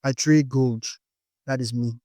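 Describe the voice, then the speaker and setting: A man's voice: mid-range voice, fairly even intonation, measured pace, dark tone, no echo, clean studio sound. Nigerian man teaching, saying "okay?" often. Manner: explains step by step, tags lines with "okay?". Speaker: forex trading instructor. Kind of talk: explanatory course lesson.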